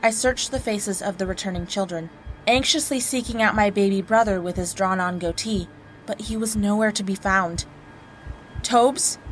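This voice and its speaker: A woman's voice: medium pitch, moderate pace, soft background music, low-quality recording. A woman reading a scripted first-person horror story in a dramatic narrating style. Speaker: guest narrator of horror stories.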